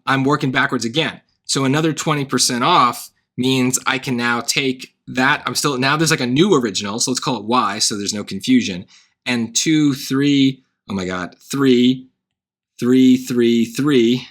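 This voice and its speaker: A man walks through a math problem like a relaxed tutor, checking in with "right?" as he goes. His tone is bright and forward. The voice sounds worn and hoarse. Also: medium pitch. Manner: thinking aloud, wry asides, frequent "right?". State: voice getting hoarse. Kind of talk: casual worked-example lesson.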